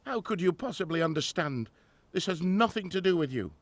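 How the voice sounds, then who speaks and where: A man acting in a radio drama as a middle-aged 1920s professor and grieving father, formal and earnest, halting when troubled. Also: sits high, lively intonation, dry recording. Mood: bitter, angry.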